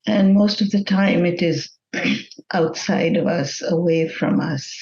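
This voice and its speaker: A woman leading a guided meditation in a gentle, measured manner with long pauses between phrases. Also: lowish voice, highs cut off.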